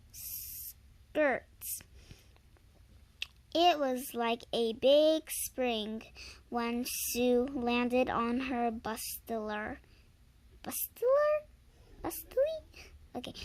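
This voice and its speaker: A young girl reading a tall tale aloud informally, stumbling over words, correcting herself and adding asides to viewers. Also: on the high side. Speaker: reader of a readers theater script. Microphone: handheld camera held by the reader.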